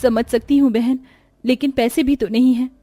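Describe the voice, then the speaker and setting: Lady doing cartoon orphan big sister, high pitched, gentle n pleading, sad and caring. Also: fairly even intonation, moderate pace, dry recording. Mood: earnest pleading. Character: caring, sorrowful orphan eldest sister, young girl.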